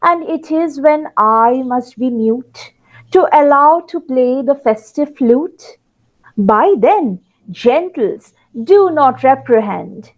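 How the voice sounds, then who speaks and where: A woman's voice: high voice, expressive, takes it slowly, rough recording. A woman narrating a play in theatrical rhymed storytelling, addressing her listeners directly.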